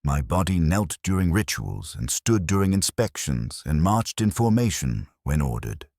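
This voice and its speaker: A man's voice: deep voice, big pitch swings, moderate pace, a little mellow, no room sound, studio quality, full character voice. A man voicing a hollow, faithless warrior nun, telling her grim life as scripted first-person story narration in detached, solemn, clipped sentences. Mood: bleak, detached.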